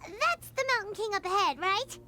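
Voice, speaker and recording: A woman voicing a tiny, childlike, excitable floating companion in a video game, exclamatory in manner. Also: very high-pitched, normal speed, a little hiss or hum, full character voice. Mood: wary. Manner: exclamatory, speaks of self in third person. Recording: loud game music behind. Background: loud music.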